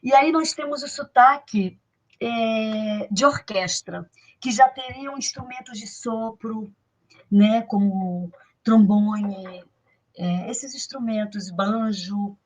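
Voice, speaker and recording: A woman with a fairly high voice speaks in a measured, halting way. She pauses often with fillers and restarts as she explains in an interview. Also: poor audio. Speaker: guest expert on folk traditions.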